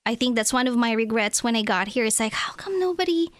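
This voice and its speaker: A woman's voice: on the high side, expressive, quick pace, dry recording. A woman from the Philippines in her thirties, Tagalog her first language, chatting easily as a realtor guest in an interview and laughing often. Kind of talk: casual interview answers.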